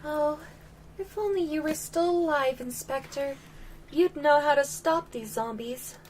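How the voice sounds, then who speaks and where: A woman's voice: high-pitched, measured pace, a little mellow, slight background noise, voice pushed up. A woman voicing an earnest, eager young woman from a video game, dramatic and exclaiming. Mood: mournful, wistful. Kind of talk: reading game dialogue aloud in character. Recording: music behind the voice. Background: game music.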